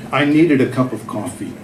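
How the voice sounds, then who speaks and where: A man's voice: mid-range voice, expressive, measured pace, vocal fry, a little mellow, slight background noise. Man, middle-aged, wry self-mocking storyteller, reading his column aloud, echoey venue. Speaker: writer and former cartoonist. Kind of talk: reading his humorous column aloud at a live literary event. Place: literary festival venue. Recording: echoey room.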